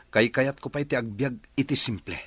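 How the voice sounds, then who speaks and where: A man playing an earnest, defiant young son in love in a radio drama, with a Filipino accent and a slightly husky voice. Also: mid-range voice, normal speed, a bit bright, dry recording. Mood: defiant, pained.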